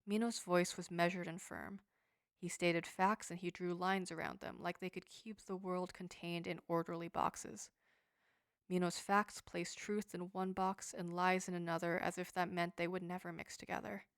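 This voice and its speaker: A woman reading a short story aloud in a quiet, steady, restrained way. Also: fairly low pitch, normal speed, no room sound, studio quality. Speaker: story narrator. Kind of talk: scripted narration of a short story.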